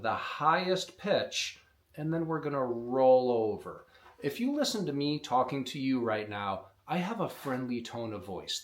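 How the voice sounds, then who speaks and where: A man explaining step by step, in a plainspoken way, how to blow a hunting call, teaching beginners on camera. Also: mid-range voice. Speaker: call maker teaching predator calling. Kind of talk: instructional demonstration.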